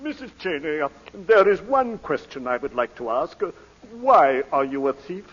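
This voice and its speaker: An actor playing a flustered, well-meaning lord who stammers and hesitates, in old-time radio drama style. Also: male voice, a little high, sing-song, moderate pace, fairly bright tone, slightly rough, full character voice. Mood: eager, kindly. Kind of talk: 1940s radio acting. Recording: dull vintage radio broadcast recording.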